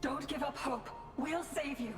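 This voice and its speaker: High-voiced, gentle young woman doctor. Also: unhurried. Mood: reassuring, earnest. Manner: gentle, reassuring. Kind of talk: video game story dialogue. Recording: echoing game audio under prominent music. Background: prominent game music.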